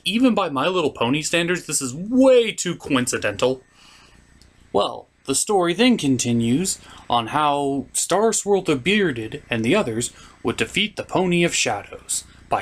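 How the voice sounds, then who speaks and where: A man's voice: fairly high pitch, very animated, normal speed. A man giving a scripted comic episode recap in a theatrical manner, as a reviewer and puppeteer. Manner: theatrical, slipping into character voices.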